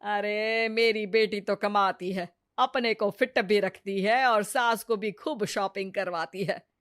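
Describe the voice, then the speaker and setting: A woman's voice: medium pitch, steady tone, takes it slowly, close to an everyday voice. A woman voicing an older, complaining mother-in-law who scolds and grumbles in a story. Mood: nagging.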